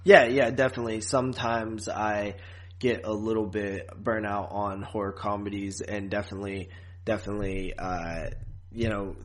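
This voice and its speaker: A man co-hosting a podcast in a casual, informal review chat, with a joking, teasing manner. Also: on the low side. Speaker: podcast co-host.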